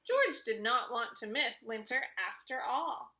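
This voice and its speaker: A woman reads a picture book aloud with a bright, high voice. Her delivery is expressive and aimed at young children, with melody that swings widely from phrase to phrase. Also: a little slow. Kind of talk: read-aloud children's storytelling.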